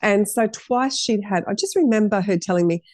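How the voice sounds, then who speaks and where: A woman's voice: mid-range voice, normal speed, a little mellow, dry recording, studio quality. A middle-aged woman, a podcast guest telling her story in an earnest, rambling way with many restarts. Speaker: guest, cafe owner. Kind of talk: casual interview chat.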